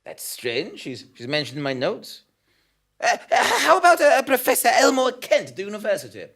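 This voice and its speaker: A man playing an excitable, blundering investigative journalist in an audio drama, stammering and easily flustered. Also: high-pitched, normal speed, exaggerated voice. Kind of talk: audio drama acting with narration.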